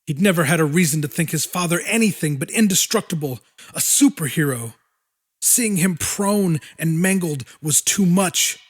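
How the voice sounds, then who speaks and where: A man narrating a horror audiobook in a dramatic, deliberate style with weighty pauses. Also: fairly high pitch, lively intonation.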